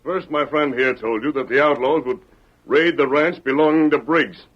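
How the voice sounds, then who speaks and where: A man's voice: fairly high pitch, normal speed, fairly bright tone. A man playing a firm, righteous masked western hero in a radio drama, with formal, commanding diction. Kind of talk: 1940s radio western acting. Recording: muffled old radio recording.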